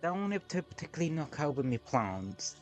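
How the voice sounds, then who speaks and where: A man's voice: on the high side, very animated, a little fast, soft background music. A man streaming a game, talking casually in rambling, sweary asides at home. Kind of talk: casual gameplay commentary. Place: home room with window open.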